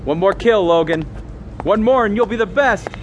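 A man playing a brash, excitable, lecherous police agent in a science fiction radio drama. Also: high-pitched, normal speed, a little hiss or hum, lightly acted, low-quality recording. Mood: cocky teasing. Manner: brash, teasing, animated.

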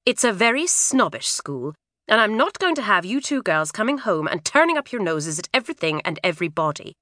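A woman voicing a firm but kindly middle-aged mother who speaks calmly and reasonably. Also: medium pitch, brisk, no room sound, clean studio sound, pitched above the performer's own voice. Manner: calm, firm, soothing.

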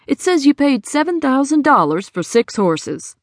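A woman voicing a calm, methodical male sheriff who speaks in a measured, matter-of-fact way. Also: high voice, no room sound, clean studio sound, pitched above the performer's own voice.

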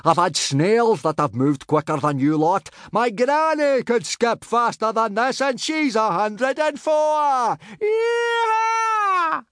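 A man's voice: very high voice, lively intonation, slow pace, a bit bright, smooth, no room sound, clean studio sound, voice pushed up, exaggerated voice. A man voicing a huge, mad Viking teacher in a storybook, roaring insults and orders with savage glee. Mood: roaring, gleeful. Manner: roaring, insulting, drill-sergeant delivery.

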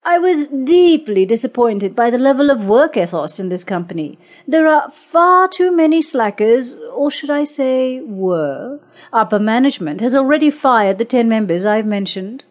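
A woman's voice: a little high, lively intonation, moderate pace, voice pushed up, close to an everyday voice. A woman voicing a cold, domineering female assessor who speaks in a clipped, commanding way.

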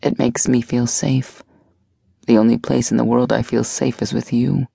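A protective woman weighed down by guilt, as a woman reader voices her. She talks low, slow and subdued, with a gravelly, dark tone. The recording is close and dry. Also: flat delivery, dry recording, full character voice. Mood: tender.

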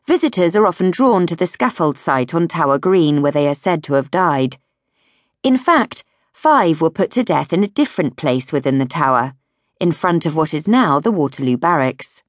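A woman reading a history audiobook as a scripted narration, in a measured, clearly phrased storytelling manner. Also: lowish voice, no room sound, clean studio sound. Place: recording studio.

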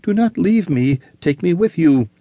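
Man doing a frightened young woman, high, pleading, frantic, dry sound. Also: animated, unhurried, dark tone, no echo. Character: terrified young captive woman.

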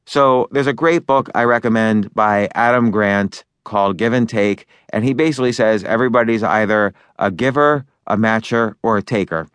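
A man's voice: lowish voice, normal speed, few pauses, fairly bright tone, clear, resonant tone, no room sound. A man narrating his own book in a loose, conversational way, with fillers and restarts as he talks over each point. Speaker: author and narrator.

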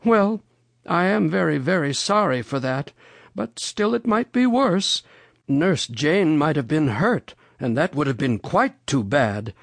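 A reader voicing a genial, excitable old gentleman rabbit from a storybook, polite and given to exclaiming. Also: mid-range voice, measured pace, vocal fry, no room sound, voice pushed up. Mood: polite, resigned. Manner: polite, exclamatory, wondering aloud.

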